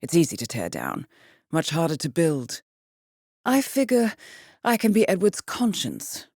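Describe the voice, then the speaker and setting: Poised British female spy handler, lively intonation. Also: deep voice, no room sound, exaggerated voice. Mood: reflective. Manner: poised, measured, dry understatement. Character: composed female intelligence handler briefing an assassin, dryly wry. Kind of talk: scripted video game mission briefing.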